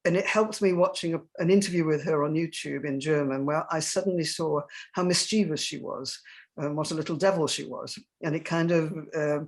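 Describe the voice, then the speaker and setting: A woman's voice: deep voice, a little fast. A woman playwright speaking on a panel, thoughtful and emphatic, sometimes searching for her words. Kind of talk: reflective panel discussion.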